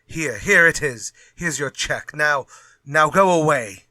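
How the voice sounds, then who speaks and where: An actor playing a nervous, wheedling crime novelist in a retro radio drama, with an agitated, emphatic delivery. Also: male voice, fairly high pitch, expressive, takes it slowly, pushed and bright, no room sound, heavily acted. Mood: anxious pleading.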